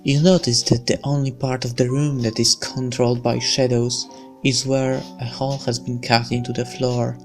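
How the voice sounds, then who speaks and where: A let's player slowly reading a visual novel's story aloud in a hushed, theatrical way, with casual asides. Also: medium pitch. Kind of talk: slow dramatic read-aloud of game text with casual asides.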